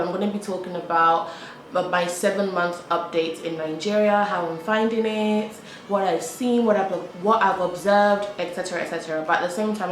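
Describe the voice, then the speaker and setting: Young British woman, casual vlogger, roomy echo, faint hum behind. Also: mid-range voice. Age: young adult. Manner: chatty, repeats herself, frequent "like". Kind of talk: casual chat to camera while eating. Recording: echoey room with faint background hum.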